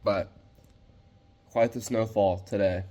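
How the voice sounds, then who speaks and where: Congested man, clearing his throat. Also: on the low side, fairly even intonation, slow, mellow, lo-fi sound. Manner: casual, talks to viewers as guys.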